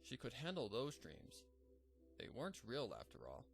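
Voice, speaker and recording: A man narrating a fanfic as a measured, somber scripted reading. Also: medium pitch, huge pitch swings, faint music behind.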